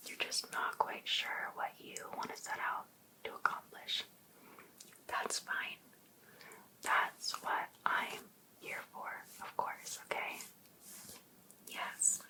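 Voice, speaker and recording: Woman playing a slow-whispering, obsessive life coach. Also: lightly acted, low-quality recording. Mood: soothing, probing. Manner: slow, breathy, coaxing whisper addressed to one client. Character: obsessed, jealous female life coach, sweetly pushy and possessive. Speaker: ASMR roleplay performer. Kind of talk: whispered ASMR roleplay.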